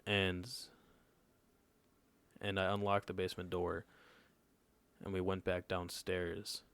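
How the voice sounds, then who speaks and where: A man's voice: sits low, completely flat delivery, slow, a bit bright, clear, resonant tone. A nineteen-year-old man telling a personal story in casual podcast chat, laid-back and often checking in with his listeners. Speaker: podcast co-host.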